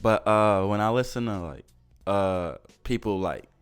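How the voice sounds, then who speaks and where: A man from Kenya talking casually as an interview guest, slangy and excitable, repeating phrases for emphasis. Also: lowish voice, measured pace, clear, resonant tone. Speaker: guest, underground music artist. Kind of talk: casual chat. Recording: music playing behind the voice.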